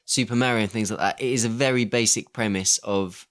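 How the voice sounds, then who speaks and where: A man's voice: fairly low pitch, fairly even intonation, a little fast, a bit bright. A man co-hosting a film podcast, leading a casual discussion in a conversational, questioning way. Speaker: podcast co-host.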